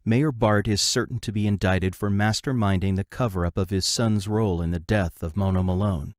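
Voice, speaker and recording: A man narrating an audio drama from a script, announcing scenes in a measured way. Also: on the low side, fairly even intonation, dry recording, clean studio sound.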